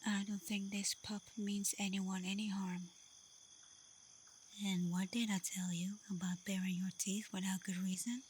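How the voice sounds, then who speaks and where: A woman playing a confident, teasing, protective werewolf alpha in an ASMR roleplay, speaking softly and breathily, often half-whispering, in a calm, unhurried way. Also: medium pitch, slight background noise, close to an everyday voice. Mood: calm, soothing. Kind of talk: ASMR roleplay, soft intimate acting to the listener. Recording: night insects and forest ambience behind. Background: crickets, night forest ambience.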